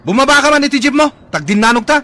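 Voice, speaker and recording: A man's voice: very high-pitched, expressive, rapid-fire, some chatter behind, heavily acted. A man playing a hot-tempered, swaggering young muscleman who barks threats in a comedic radio drama. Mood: belligerent. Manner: belligerent, shouting threats.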